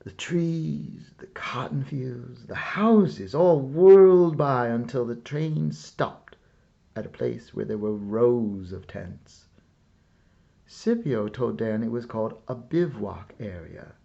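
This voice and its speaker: A man reading a children's story aloud as scripted narration, with a measured, dramatic storyteller's delivery. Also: mid-range voice, expressive, vocal fry, dark tone, lo-fi sound. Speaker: storyteller reading a picture book.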